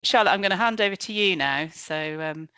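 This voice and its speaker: Event presenter, halting conversational delivery, bright tone, dry close sound. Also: mid-range voice, smooth, dry recording. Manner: halting, conversational, often restarting sentences. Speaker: presenter introducing speakers at a career event. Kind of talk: webinar presentation.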